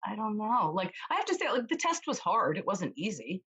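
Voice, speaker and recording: A woman real estate agent chatting as an interview guest, with a loud voice, telling stories lively and acting out what others said. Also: medium pitch, fairly quick, husky, highs cut off. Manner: animated storyteller, acts out quoted voices. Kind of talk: casual interview chat.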